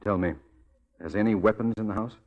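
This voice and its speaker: A low-pitched, insistent detective, played by a man in a 1940s radio drama. He speaks in a clipped, deliberate way, earnest and protective, as someone used to giving orders. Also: dull audio, natural voice. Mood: probing, intent.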